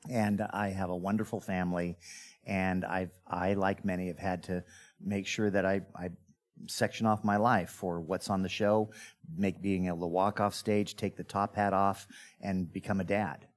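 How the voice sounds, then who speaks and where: A man's voice: low-pitched, normal speed. A middle-aged man, a magician guest, casually telling his life story in an interview and acting out bits of dialogue. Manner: animated storyteller who acts out quoted dialogue.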